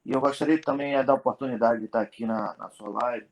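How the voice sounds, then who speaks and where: A man's voice: mid-range voice, fairly even intonation, poor audio. A man giving a careful, earnest statement as an interview guest. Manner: earnest, deliberate. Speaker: guest, family member.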